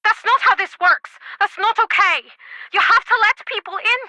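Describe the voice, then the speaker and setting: A woman's voice: extremely high pitch, normal speed, bright, forceful tone, no room sound, heavily acted. An actress playing a guarded, heartbroken townswoman with an earnest, emotional delivery in a mystery video game. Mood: angry, pleading. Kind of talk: dramatic video game voice acting.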